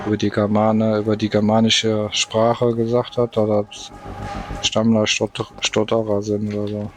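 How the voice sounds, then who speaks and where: A man's voice: on the low side, monotone, normal speed, over music. A man casually commenting while playing a video game, rambling and hesitant, often putting himself down. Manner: rambling, hesitant, self-deprecating. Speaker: let's play gamer.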